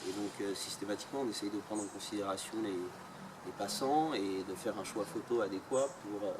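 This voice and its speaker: A man's voice: lowish voice, steady tone, a little fast. Hesitant man, distant and noisy. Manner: hesitant, many fillers. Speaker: photojournalist interviewee. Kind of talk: interview answers. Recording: distant mic, loud outdoor noise.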